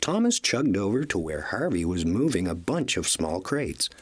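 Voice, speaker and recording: Husky man narrating a children's story, animated. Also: sits low, moderate pace.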